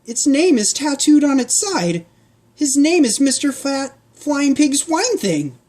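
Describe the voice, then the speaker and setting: A woman's voice: sing-song, slow pace, exaggerated voice. A woman reading a storybook aloud, voicing a plucky young girl in a raised, eager voice. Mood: coaxing. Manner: high, coaxing, then yelling.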